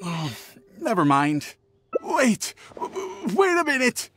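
An actor playing a nervous, cowardly young traveling merchant in a video game, stammering theatrically with music behind him. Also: male voice, very high-pitched, wildly swooping pitch, takes it slowly, fairly bright tone, a little hiss or hum, exaggerated voice. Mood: nervous, pained. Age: young adult. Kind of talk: video game character acting.

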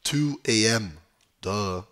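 Young male host, raspy and a touch low, slow and hesitant, solving a crossword out loud on a headset with typing behind. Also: expressive. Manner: mutters, hesitates, trails off while thinking. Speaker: puzzle video host. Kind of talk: casual think-aloud while solving a crossword. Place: small room indoors.

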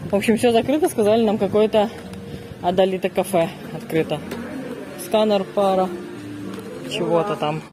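A woman's voice: mid-range voice, moderate pace, long pauses, over music. A middle-aged woman narrating a casual family travel vlog in an effusive, exclaiming way. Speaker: family vlogger filming and narrating. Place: resort grounds, corridors and poolside. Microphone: handheld phone camera. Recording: noisy handheld travel vlog recording.

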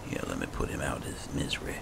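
A man gives casual gameplay commentary in an extremely low, crackling voice. His pitch moves around in a lively way. Clear background noise with game ambience sits behind him. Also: fast talker. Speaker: gameplay host.

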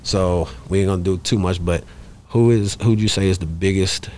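Male podcast guest, low voice, emphatic and repetitive, casual talk over noticeable background noise. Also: steady tone, moderate pace, darkish tone. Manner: emphatic, repetitive, peppers talk with "you know what I'm saying". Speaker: interview guest, rapper. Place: small podcast studio in a shop. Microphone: close studio microphone on stand.

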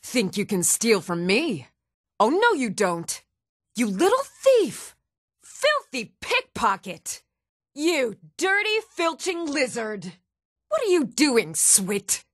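A woman's voice: on the high side, wildly swooping pitch, slow, no echo, clean studio sound, full character voice. A woman playing a stern, challenging Nord townswoman ready for a fight in a fantasy video game. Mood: indignant. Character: fierce, even-tempered Nord townswoman warrior, commoner in a fantasy world. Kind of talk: fantasy video game combat and reaction lines.

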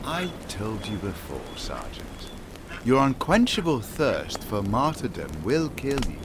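An actor in an audio drama playing an urbane, menacing island cult leader, politely mocking and grandiose. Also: male voice, lowish voice, wildly swooping pitch, noisy background, exaggerated voice. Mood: mocking, measured. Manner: grandiose, polite, drawn-out taunting delivery.

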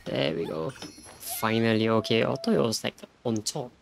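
A man's voice: on the low side, slow, vocal fry, slight background noise. A young man giving casual reaction commentary as he streams a game. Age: young adult. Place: indoor room at a computer.

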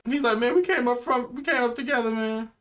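A man's voice: very high-pitched, a little fast, a bit bright. A young American man giving casual, slangy, playful reaction commentary. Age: young adult. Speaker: anime reaction show host. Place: small indoor room. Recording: music in the background. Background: anime episode music.

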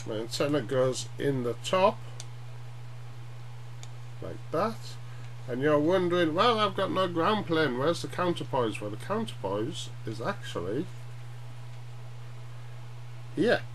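A middle-aged man giving an informal, halting hobby demonstration at home, often checking in with short tag questions. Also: on the high side, big pitch swings, a bit bright. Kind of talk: informal explainer vlog. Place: small cluttered room at home, indoors. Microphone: webcam at arm's length. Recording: noisy webcam audio with hum.

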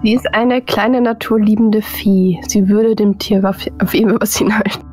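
A woman's voice: mid-range voice, fairly even intonation, normal speed, a little mellow, faint music behind. A woman casually narrating her character as a player in a tabletop role-playing game.

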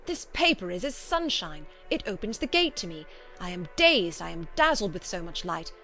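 A woman's voice: lowish voice, very animated, moderate pace, pushed and bright, faint music behind, heavily acted. A woman voicing a kindly old foreign male doctor in an audiobook drama, emphatic and courtly, speaking formal broken English. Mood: effusive, solemn.

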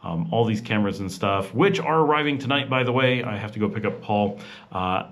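Vlog host with a lowish voice. Relaxed, rambling voice-over narration full of fillers and restarts. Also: male voice, fairly quick. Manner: chatty and informal. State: rushed. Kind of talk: casual voice-over narration of a walking tour.

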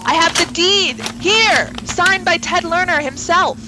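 A woman playing a determined, dramatic heroine in a comic horror audio drama, speaking deliberately. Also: extremely high pitch, heavy background noise. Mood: negotiating.

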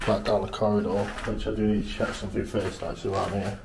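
A man's voice: on the low side, level tone, fast, creaky. A man in his thirties walking through an abandoned school with a handheld camera, chatting casually to viewers and reacting with awe. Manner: casual, addresses viewers as guys, awed exclamations. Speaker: urban explorer vlogger. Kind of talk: handheld exploration commentary. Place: abandoned school corridors, indoors. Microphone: handheld camera mic. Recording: echoey empty rooms with faint hiss.

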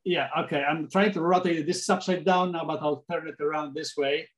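A man's voice: fairly high pitch, fairly even intonation, fairly quick. A middle-aged man from Poland with a Polish accent, talking as a guest on a video call interview, with many restarts and fillers. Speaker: interview guest, architect and sketcher. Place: small room at home, framed pictures on wall. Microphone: laptop webcam on video call.

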